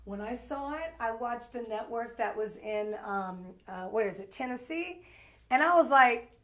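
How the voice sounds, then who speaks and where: A woman's voice: a little high, animated, measured pace. East coast lady in her 40s hosting a shopping stream, rambly and chatty, echoey studio. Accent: East Coast American. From New York. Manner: chatty, rambling with fillers and restarts. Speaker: shopping channel host. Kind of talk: live shopping stream chat.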